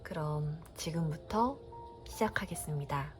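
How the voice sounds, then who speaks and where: A woman reading a children's storybook aloud as a bedtime story, narrating in a gentle, unhurried way. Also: deep voice, lively intonation, faint music behind. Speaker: storybook reader.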